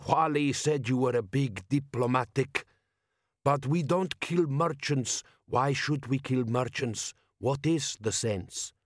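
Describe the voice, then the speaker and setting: A man doing a slow-spoken jovial desert chieftain. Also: medium pitch, dry recording, clean studio sound, voice pushed up. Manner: slow, broken English, halting foreign accent put on.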